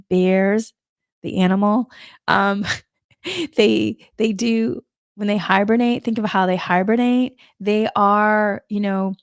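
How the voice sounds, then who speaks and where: Gentle rambling woman, slight Boston accent. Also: mid-range voice, no room sound, clean studio sound. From Massachusetts. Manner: repetitive, gentle, rambling with many restarts. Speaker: spiritual energy forecaster. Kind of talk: live-streamed spiritual talk. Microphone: live stream microphone.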